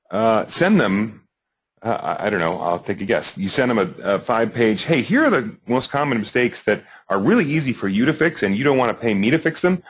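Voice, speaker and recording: A man's voice: medium pitch, breathy edge. A man hosting a webinar, asking rambling questions with restarts and hesitations. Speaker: webinar host. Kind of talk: interview questions.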